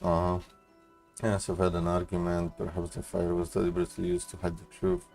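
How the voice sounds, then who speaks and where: Casting: young male streamer, deep voice, sarcastic offhand game commentary with swearing, faint music behind. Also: brisk, vocal fry, a little mellow. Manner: sarcastic, slangy. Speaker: gaming streamer. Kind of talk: casual playthrough commentary, swearing.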